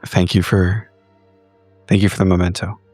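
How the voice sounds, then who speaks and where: A man playing a grown daughter, speaking in a gentle, earnest and consoling way. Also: low voice, level tone, moderate pace, dry recording, faint music behind. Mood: tender, reassuring. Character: tender, reassuring grown daughter.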